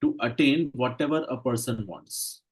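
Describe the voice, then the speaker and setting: A man gives a lecture in in short, measured phrases and stops after each one so it can be interpreted. His voice is husky and a little rough, pitched in an ordinary middle range. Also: low-quality recording. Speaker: Ayurveda lecturer. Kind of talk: lecture in English, interpreted consecutively.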